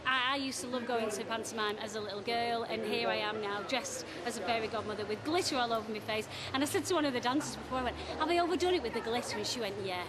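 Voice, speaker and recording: Bubbly, fast-talking woman over loud crowd. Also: on the high side. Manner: gushing, bubbly, chatty. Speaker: pantomime performer being interviewed. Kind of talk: casual promotional interview. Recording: loud crowd noise behind.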